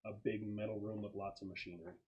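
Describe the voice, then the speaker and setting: A man acting as game master, casually narrating scenes and explaining rules in a halting, think-aloud way. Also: fairly low pitch, little pitch movement, a little mellow, highs cut off.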